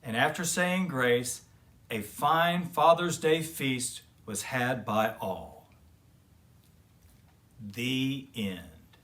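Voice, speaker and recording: A man reading a children's story aloud as expressive narration. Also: medium pitch, very slow, long pauses. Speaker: children's story reader and host.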